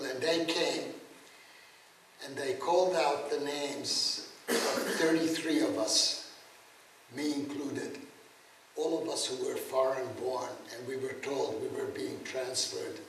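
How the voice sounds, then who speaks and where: An elderly man in his eighties, from Czechoslovakia and a native German speaker, speaks slowly and haltingly, stopping often to restart. His voice rings in a very echoey room, heard from a distance, with faint noise underneath. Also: mid-range voice, fairly bright tone. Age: 80s. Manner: halting, many fillers and restarts. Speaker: guest speaker, Holocaust survivor. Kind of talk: spoken testimony lecture. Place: lecture room. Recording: very echoey room, mic far off.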